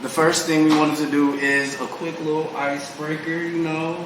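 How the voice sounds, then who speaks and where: A man's voice: on the high side, level tone, moderate pace. A man co-hosting a podcast, chatting casually in slangy, emphatic everyday speech. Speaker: podcast co-host. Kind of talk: casual chat, explaining a party game. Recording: echoey room, distant hissy background noise.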